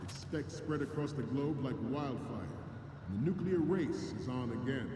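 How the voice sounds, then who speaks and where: A man's voice: mid-range voice, lively intonation, unhurried, lightly acted. An actor playing a stern, rousing male marine commander who delivers an oratorical military briefing in a video game. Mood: grave, declamatory. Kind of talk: military speech to assembled troops. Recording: echoing large hall, distant, noisy game audio.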